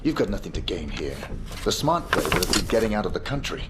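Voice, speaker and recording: An actor playing a cold, guarded hired assassin in a video game cutscene, speaking in a terse, stern manner. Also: male voice, sits low, sing-song, quick pace, very noisy. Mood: wary, terse. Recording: loud music over dialogue.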